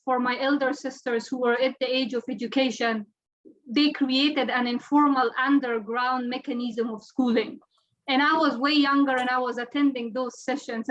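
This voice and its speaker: A woman's voice: sits high, fairly bright tone. A woman in her twenties from Afghanistan, answering earnestly on a panel, often restarting her sentences. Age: 20s. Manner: earnest, with frequent restarts and stammers. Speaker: panelist, former deputy health minister. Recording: muffled lo-fi audio.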